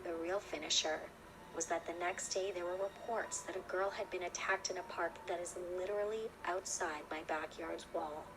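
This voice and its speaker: A woman's voice: on the low side, fairly even intonation, normal speed, gravelly, background noise. A woman reading a scripted first-person horror story as narrator. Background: loud music.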